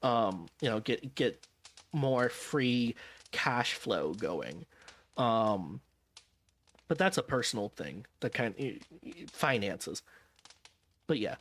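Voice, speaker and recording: A man's voice: mid-range voice, sing-song, slow pace, long pauses, fairly bright tone. Tired, rambling male streamer. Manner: rambling, self-correcting, wry. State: tired, jet-lagged. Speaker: vtuber streamer. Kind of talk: casual stream chat.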